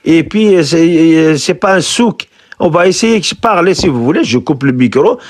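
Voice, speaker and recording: A man debating on a live stream, halting and repeating himself as he argues. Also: fairly high pitch, lively intonation. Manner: halting, repeats words and restarts, argumentative. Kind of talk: heated live online debate.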